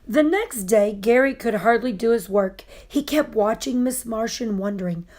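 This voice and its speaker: A woman reading a children's story aloud in a deliberate, expressive way. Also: a little high. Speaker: storybook reader.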